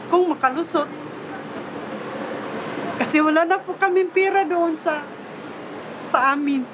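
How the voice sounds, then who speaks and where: Woman, Filipino accent, high voice, halting, polite, candid interview, noisy location with vehicle ambience.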